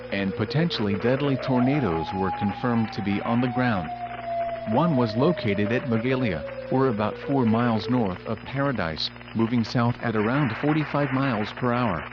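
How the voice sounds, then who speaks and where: A synthetic male voice reading scripted emergency weather bulletins as an automated radio announcer, in a formal, impersonal, measured way. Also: medium pitch. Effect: weather radio broadcast. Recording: muffled noisy weather radio broadcast, humming.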